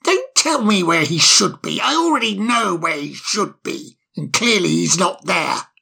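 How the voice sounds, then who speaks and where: A man voicing a menacing, sarcastic male fox who bosses others around in a storybook reading, with a slow, cold manner. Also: high-pitched, sing-song, vocal fry, pushed and bright, slightly rough, heavily acted. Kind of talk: storybook audiobook character voice.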